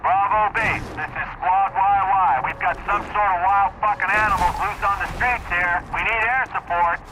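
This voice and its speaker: Man playing a frantic high-pitched soldier shouting. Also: normal speed, over loud music, close to an everyday voice. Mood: panicked. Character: panicked male soldier radioing for backup under attack. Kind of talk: video game cutscene acting. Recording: noisy battlefield with gunfire.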